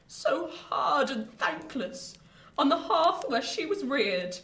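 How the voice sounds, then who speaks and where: A woman's voice: high voice, big pitch swings, takes it slowly, fairly bright tone, reverberant, exaggerated voice. An actress playing an embittered, deranged old adoptive mother in a radio play, declaiming in anguish and repeating her phrases. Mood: bitter accusing. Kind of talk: theatrical radio play acting.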